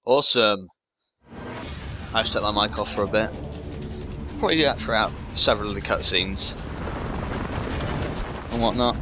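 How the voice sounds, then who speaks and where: A man's voice: on the low side, normal speed, pauses often, background noise. A man doing casual let's play commentary on a video game, dropping short offhand remarks and interjections. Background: game music.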